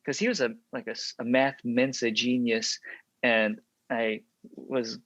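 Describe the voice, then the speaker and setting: A young adult man from Texas, an actor and podcast guest, telling stories in a relaxed interview, reflective and full of ums and restarts. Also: mid-range voice, unhurried, no room sound. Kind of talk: casual interview storytelling. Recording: dry, slightly dull sound.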